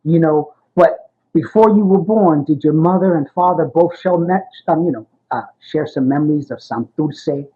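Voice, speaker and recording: A man's voice: on the high side, normal speed, few pauses, narrow, tinny audio. A man working as an archivist and interviewer for an oral history project, friendly and affirming, often saying "got it" and "awesome.".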